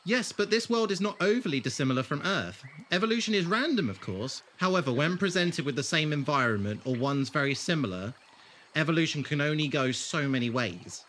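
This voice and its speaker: Man acting eccentric time traveller, very lively intonation, theatrical, wordy, teasing, audio drama, faint background noise. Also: on the high side, fairly quick. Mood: enthusiastic, grand.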